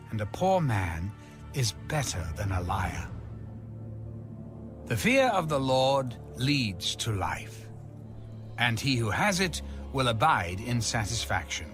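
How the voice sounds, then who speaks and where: A man reading scripture aloud from a script in a measured, expressive, declamatory manner. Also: mid-range voice, over music. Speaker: audio Bible reader.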